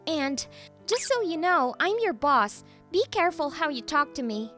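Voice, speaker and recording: Woman acting very high-pitched bossy anime office boss. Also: sing-song, moderate pace, fairly bright tone, faint music behind. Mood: stern, needling. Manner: commanding, needling. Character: bossy, teasing young female boss and jilted ex. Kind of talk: anime-style manga dub acting.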